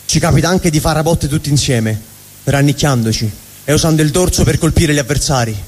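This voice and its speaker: A man's voice: a little high, brisk, slightly rough, voice pushed down. A man performing a theatrical comic stage monologue as an angry, vulgar but honest middle finger, ranting sardonically. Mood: indignant, wounded pride. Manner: ranting, sardonic, swooping between mutter and shout. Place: live stage at a fundraising event. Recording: echoey hall reverb, live stage.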